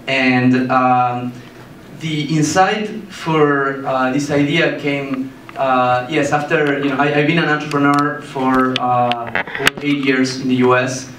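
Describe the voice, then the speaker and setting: Guy from argentina pitching his startup, lots of ums and restarts, roomy sound, a bit of hiss. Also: fairly even intonation, fairly bright tone. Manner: halting, many fillers and restarts. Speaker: startup founder giving a talk. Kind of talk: presentation to an audience. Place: meeting room with a projector screen, indoors. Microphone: handheld mic, filmed by a far camera. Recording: echoey room, mic far off, faint hiss.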